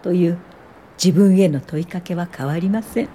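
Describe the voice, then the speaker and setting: An actress playing a reflective, gentle eighty-year-old woman educator, narrating and talking in a radio drama in a measured, contemplative way. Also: on the low side, slight background noise, natural voice.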